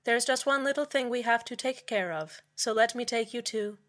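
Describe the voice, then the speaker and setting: A woman's voice: on the high side, moderate pace, heavy background noise, natural voice. A woman playing a falsely cheerful, menacing community radio host in a scripted audio drama, soothing, condescending and polite. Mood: pleasant, polite. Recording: music bed under the voice.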